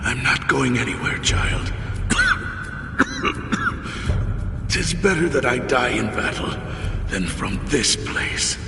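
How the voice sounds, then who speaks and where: Weary dying old warrior for a video game, slow halting grave delivery, kinda far off w/ music. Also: male voice, medium pitch, wildly swooping pitch, exaggerated voice. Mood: failing, solemn. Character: dying old male warrior uncle, weary, solemn, protective. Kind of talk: dramatic video game cutscene acting. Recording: echoey reverberant hall, distant, music behind.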